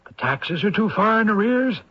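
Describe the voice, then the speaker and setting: A man's voice: sits high, animated, normal speed. An actor playing a polite, resigned young male lawyer, formal and sympathetic, in an old-time radio drama. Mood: sympathetic, firm. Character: polite, resigned young male attorney delivering bad news. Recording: dull, muffled radio drama recording.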